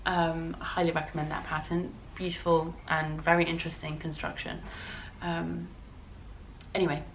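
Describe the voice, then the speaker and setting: Female British vlogger, lowish hoarse voice with fry, chatty and informal. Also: fairly bright tone, a little hiss or hum. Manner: rambling, chatty. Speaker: knitting vlogger and designer. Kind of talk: casual vlog chat.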